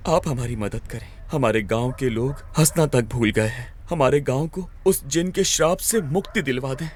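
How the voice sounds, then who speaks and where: A man's voice: medium pitch, normal speed, slight background noise, natural voice. A man voicing a grieving young brother who is emotional and vengeful. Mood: pleading. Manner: pleading and emotional. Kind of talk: animated horror voice acting.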